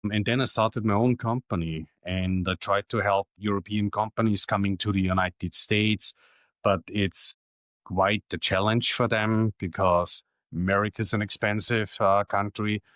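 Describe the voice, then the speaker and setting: A middle-aged Austrian man whose first language is German speaks in a very low voice with a clear Austrian German accent. He answers questions plainly and matter-of-factly, often pausing on "uh". The recording is close and dry, with almost no room sound. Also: fairly even intonation, a bit bright, no echo. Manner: plain, matter-of-fact, with frequent "uh" pauses. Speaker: guest. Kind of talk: casual interview answers.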